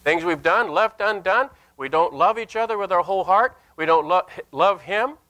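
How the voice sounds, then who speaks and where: An older man, a Lutheran pastor, preaching a sermon in an earnest, emphatic manner with deliberate pauses and repeated phrases. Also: high-pitched, pushed and bright. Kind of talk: sermon with scripture and anecdote. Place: indoor church sanctuary at a lectern.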